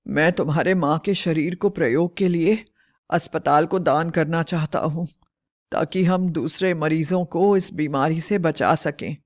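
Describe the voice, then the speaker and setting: A woman voicing a grave, caring middle-aged doctor father in a storybook tale, explaining things in a measured way. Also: low voice, darkish tone. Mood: grave, resolute.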